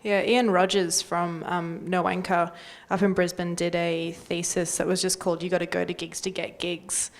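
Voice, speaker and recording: Female panellist, low and gravelly with vocal fry, casual, blunt and wry. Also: level tone, normal speed. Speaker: music industry panellist.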